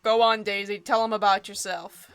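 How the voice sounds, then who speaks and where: A woman's voice: medium pitch, level tone, normal speed, smooth, close to an everyday voice. A woman voicing a friendly, boastful middle-aged dad in a dating game, cheerful and proud.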